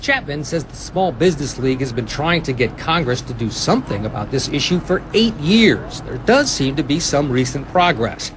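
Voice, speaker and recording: A man in his forties reports the news from outdoors on a small-town street, wearing a lapel mic. His voice sits a little high for a man, and his delivery is clear and measured. A faint hum of traffic runs behind him. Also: big pitch swings. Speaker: field reporter.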